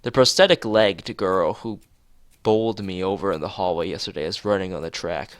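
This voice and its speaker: A man streaming a visual novel, reading its script aloud haltingly, stumbling and repeating phrases, with casual asides. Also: low voice, dry recording, studio quality.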